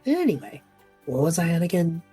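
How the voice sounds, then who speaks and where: A woman playing an anxious, sarcastic young male magic student in an audio drama, rambling, flustered and interrupting himself, with a husky voice. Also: lowish voice, very animated, a little fast, mellow, faint music behind, heavily acted. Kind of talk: audio drama acting, monologue and banter.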